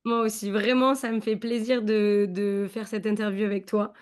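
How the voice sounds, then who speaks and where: A woman's voice: mid-range voice, flat delivery, moderate pace, darkish tone, smooth. A woman hosting her podcast, asking questions in a friendly way with some hesitant fillers. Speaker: podcast host. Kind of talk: casual interview.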